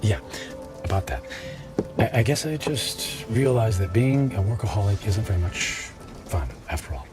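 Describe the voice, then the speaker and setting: An actor playing a remorseful middle-aged businessman in a radio drama, pleading with his ex-wife in a halting, stammering, earnest way. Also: on the low side, sing-song. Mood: sincere. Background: dramatic background music.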